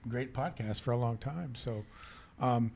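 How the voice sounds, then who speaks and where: A man's voice: medium pitch, unhurried, gravelly, darkish tone, slight background noise. Teasing, encouraging male podcast host. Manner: encouraging, teasing, pushes the guest along. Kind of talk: casual podcast chat.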